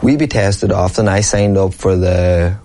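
A man's voice: low voice, steady tone, unhurried, mellow. A man, a professional boxer talking earnestly and at length in an interview, often asking "you know what I mean". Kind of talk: casual interview talk.

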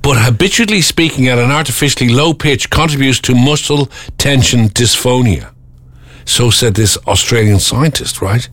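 A man's voice: medium pitch, normal speed, a bit bright, dry recording. A man hosting a breakfast radio show, chatting casually and joking. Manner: chatty, jokey, slips into silly voices. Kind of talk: casual morning radio chat. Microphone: studio microphone.

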